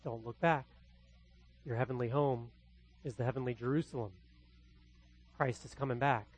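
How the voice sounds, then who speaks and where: A man from the Midwest with a Midwestern accent, preaching a verse-by-verse sermon as pastor, swinging between loud and quietly hushed delivery. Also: mid-range voice, fairly even intonation, moderate pace, breathy edge. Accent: Midwestern American. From American Midwest. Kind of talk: expository sermon.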